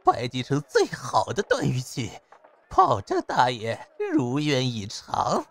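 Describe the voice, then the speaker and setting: A man's voice: medium pitch, huge pitch swings, slow pace, gravelly, faint music behind, full character voice. A man voicing an eager, obsequious shopkeeper of ancient China in a costume radio drama. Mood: fawning. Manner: obsequious, exclaiming.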